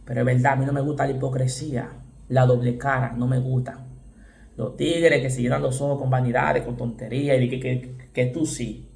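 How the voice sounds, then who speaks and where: A man from the Dominican Republic with a Dominican accent, preaching a fervent sermon, repeating phrases for stress and breaking into sudden shouted exclamations. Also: mid-range voice, flat delivery, moderate pace, creaky, roomy sound. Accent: Dominican Spanish.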